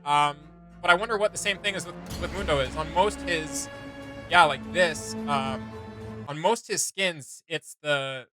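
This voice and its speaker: A man's voice: a little high, measured pace, over music. A man giving casual reaction commentary as a video host, thinking aloud in a rambling way with trailing asides.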